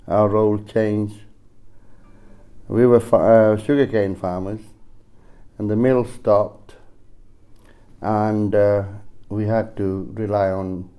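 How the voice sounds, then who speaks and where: A man with a Fijian accent gives an unscripted personal testimony to a group, halting and often correcting himself as he goes. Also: fairly low pitch, dark tone. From Fiji. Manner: halting, with repeated self-corrections. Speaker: speaker sharing his story at a recovery meeting.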